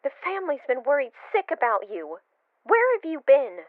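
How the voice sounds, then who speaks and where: A woman's voice: high voice, huge pitch swings, moderate pace, no echo, narrow, tinny audio. An actress playing an anxious, loving old grandmother in an audio drama, heard through a telephone filter. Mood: astonished, worried. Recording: thin band-limited telephone sound.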